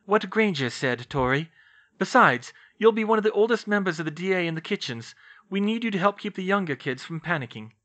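Man playing a firm, caring big sister, bright lively voice. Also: high-pitched, brisk, pitched above the performer's own voice. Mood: firm coaxing. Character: protective young woman, older sister.

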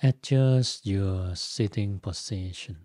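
Casting: male meditation guide, low-pitched and very mellow, gentle short instructions, unhurried with long pauses. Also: dry recording, studio quality. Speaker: Buddhist monk, meditation teacher.

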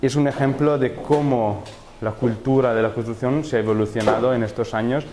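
A man's voice: medium pitch, a little mellow, slight background noise. A man in his thirties from Italy speaking Spanish with an Italian accent, giving a technical workshop talk in a lecture room on a handheld mic, often stopping to correct himself. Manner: halting, self-correcting non-native phrasing. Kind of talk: technical presentation to an audience. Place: large lecture room with projector. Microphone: handheld microphone.

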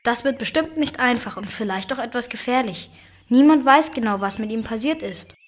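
An earnest cartoon kid. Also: female voice, a little high, expressive, moderate pace. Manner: earnest, slightly worried. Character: friendly young cartoon kid Pop, earnest. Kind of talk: children's game voice acting.

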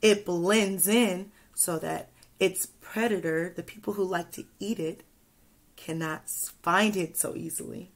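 A young woman teacher reading a picture book aloud to children, expressively, pausing to ask questions and add asides. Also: on the low side, steady tone. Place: small indoor room with classroom wall charts.

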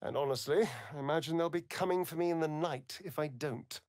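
An actor with a British accent playing a sardonic, preening vampire rogue in a fantasy video game, with an arch, drawling, theatrical delivery. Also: male voice, fairly high pitch, a little fast, a little mellow, no echo, studio quality, close to an everyday voice. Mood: affronted.

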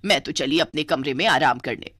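Woman voicing an exasperated low-pitched older mother-in-law. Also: fairly quick, a bit bright. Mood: exasperated, scolding. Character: exasperated older Indian mother-in-law, scolding yet submissive to elders. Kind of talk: animated moral story dialogue.

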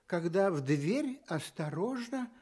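A man telling a children's fairy tale for Soviet radio in an expressive storytelling style. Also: high-pitched, slow, slight background noise, exaggerated voice.

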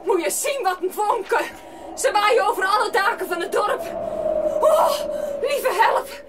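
An actress playing an alarmed, agitated village woman with a shrill delivery in a children's radio play. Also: expressive, a little slow, noisy background. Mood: panicked.

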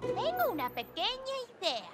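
A woman voicing a bubbly, adventurous young girl in a children's cartoon, with excitable, dramatic delivery. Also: extremely high pitch, normal speed, full character voice. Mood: determined. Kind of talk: animated children's cartoon dubbing in Spanish. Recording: loud music behind the voice.

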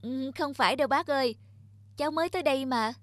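A woman's voice: high voice, fast talker, fairly bright tone, no echo. A woman voicing a polite, curious and caring young woman in a cartoon. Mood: polite, puzzled. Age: young adult. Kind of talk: cartoon voice acting.

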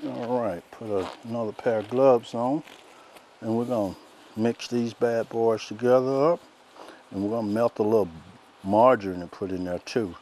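A soft-spoken middle-aged man, demonstrating a recipe outdoors as a cooking show host in a relaxed, folksy way. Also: medium pitch, moderate pace, slight background noise, rough recording. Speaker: chef host. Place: outdoors by a lake in woods.

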